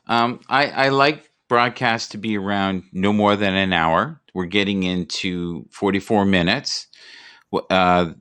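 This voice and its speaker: A man with a low voice, recorded dry and close with no room sound. He hosts a live-stream conversation in an easygoing, hesitant way, full of fillers. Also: fairly bright tone, clear, resonant tone, dry recording. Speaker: host. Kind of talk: casual chat.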